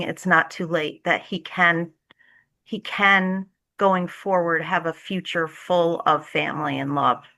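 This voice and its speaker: A woman with a bright, forward voice pitched a little low. She talks conversationally as a theatre director being interviewed, earnest and enthusiastic about her work.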